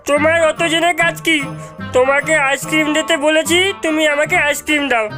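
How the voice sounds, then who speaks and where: A woman's voice: high-pitched, fairly even intonation, normal speed, lightly acted. A woman voicing a bold, cheeky village boy in a cartoon, brash and exaggerated, with music behind. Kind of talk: cartoon voice acting.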